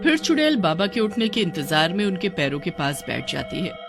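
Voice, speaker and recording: Woman telling a cartoon story, dramatic, pitch moves a lot, soft music behind. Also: very animated, normal speed. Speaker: narrator. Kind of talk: scripted story narration.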